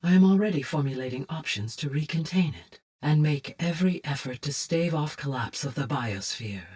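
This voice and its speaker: A woman voicing a calm, courteous, motherly artificial intelligence in a video game, speaking softly with measured, precise, formal diction. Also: deep voice, breathy edge, recorded from a distance. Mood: calm, explanatory. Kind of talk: scripted video game voice acting.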